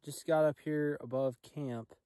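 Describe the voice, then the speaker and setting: A young man with a slightly raspy voice, vlogging casually and quietly to a handheld camera outdoors in open hills, often trailing off, with sudden bursts of excitement. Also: fairly high pitch, unhurried, slight background noise. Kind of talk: casual solo vlog narration.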